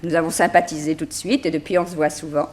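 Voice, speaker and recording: A woman's voice: deep voice, flat delivery, fast, darkish tone, natural voice. An actress playing a vivacious, worldly woman journalist, playful and breezy, in a 1960s French radio drama.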